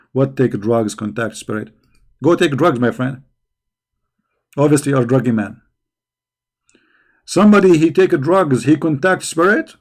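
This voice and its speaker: A man's voice: medium pitch, creaky, darkish tone. A man hosting a religious debate stream, answering viewers' questions combatively and with mockery, often repeating words as he searches for phrasing. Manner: combative and mocking toward questioners.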